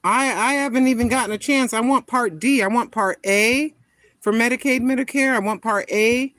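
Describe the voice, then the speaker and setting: A woman in her sixties chatting casually with viewers on a live stream, greeting them by name and talking her thoughts through out loud. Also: on the high side, normal speed, no room sound. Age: 60s. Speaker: vlogger greeting live chat.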